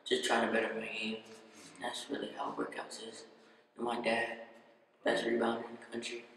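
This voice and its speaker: A man's voice: medium pitch, completely flat delivery, moderate pace, fairly bright tone, slight background noise. A male interviewee talking casually in a hesitant, understated way. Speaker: young basketball player, interviewee. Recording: echoey distant room, music behind.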